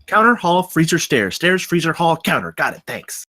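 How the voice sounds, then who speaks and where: A man's voice: a little high, sing-song, normal speed, pushed and bright, no echo, studio quality, heavily acted. A man at a table read playing an eager, clean-cut guy of twenty-four. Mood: puzzled, eager. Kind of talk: scripted film table read.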